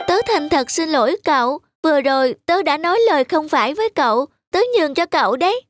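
A woman playing a sweet, well-mannered little girl in a cartoon. The voice is extremely high and childlike, over clearly audible children's music. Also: lively intonation, fast talker, no room sound. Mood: polite, sweet. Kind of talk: animated cartoon dub.

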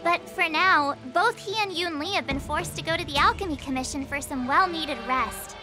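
A woman's voice: high-pitched, normal speed, music in the background, natural voice. A woman voicing a perky, earnest teenage sword apprentice in an anime game. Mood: earnest, modest.

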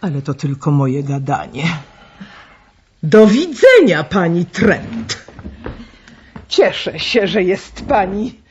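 An actress playing a theatrical society lady with a husky voice in a radio drama. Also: fairly low pitch, sing-song, takes it slowly, pauses often, a little mellow, a little hiss or hum. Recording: dull, muffled recording.

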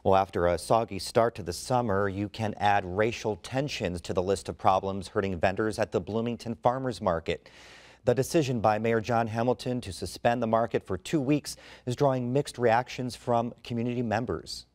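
A man's voice: lowish voice, moderate pace, a little mellow, no room sound. A man anchoring a TV news program, introducing a story from the studio. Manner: crisp anchor delivery.

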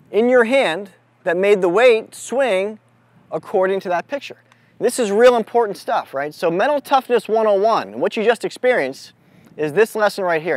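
A man speaking as a mental toughness coach, giving a deliberate, instructive motivational lesson to a group out in the open. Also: sits high, dry recording. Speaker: mental toughness coach leading a youth clinic. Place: outdoors on an amphitheater lawn.

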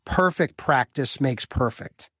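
A man's voice: mid-range voice, animated, takes it slowly, a bit bright, husky, dry recording. A man in his fifties from the Chicago area, a business coach answering interview questions in an enthusiastic, upbeat way, often repeating words as he builds a point. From Chicago area, US Midwest. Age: 50s. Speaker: guest, business development coach for lawyers.

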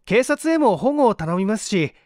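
A man voicing an earnest young male assistant, courteous and brisk, in an audiobook story. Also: high-pitched, very animated, darkish tone, no room sound, clean studio sound, pitched above the performer's own voice. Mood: earnest, polite.

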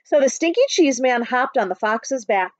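High, very animated woman reading a storybook. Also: moderate pace, dry recording. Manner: expressive storytelling. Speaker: school staff reading to pupils. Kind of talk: picture book read-aloud for children. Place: small book-lined room at home. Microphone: webcam at arm's length. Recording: dull, lo-fi webcam sound.

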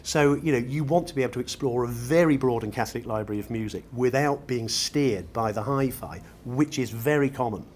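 A man's voice: mid-range voice, a little fast, few pauses, a little mellow. A middle-aged British man explaining his work in an unscripted interview, articulate and ornate in his wording. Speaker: loudspeaker designer being interviewed. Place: large glass-roofed exhibition show room. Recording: noisy exhibition room ambience.

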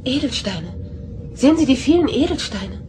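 A woman playing a brisk, alert starship security lieutenant in a German radio play. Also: high voice, sing-song, dark tone, soft background music. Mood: startled.

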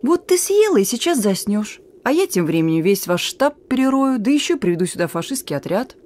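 An actress playing a plucky young partisan courier in a radio drama, speaking in a familiar, teasing, warm-hearted way. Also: female voice, a little high, very animated, brisk. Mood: exasperated, teasing. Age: young adult.

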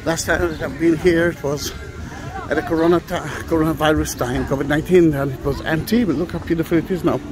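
A man's voice: fairly high pitch, steady tone, fast. A middle-aged man guiding a walking tour outdoors, talking hesitantly with many fillers and restarts. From Israel. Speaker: tour guide. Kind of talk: walking tour vlog, unscripted. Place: outdoor plaza of an old train station. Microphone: phone or camera carried while walking.

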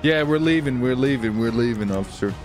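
A man doing excited, theatrical gaming roleplay, playfully repeating phrases in quick bursts. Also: mid-range voice, soft background music. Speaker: streamer playing a clone gang leader. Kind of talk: excited game roleplay commentary.

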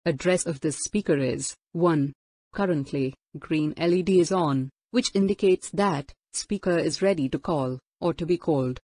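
A woman's voice: low-pitched, normal speed, a little mellow, no room sound. A woman giving a formal, instructional scripted product demo narration. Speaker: product demonstrator.

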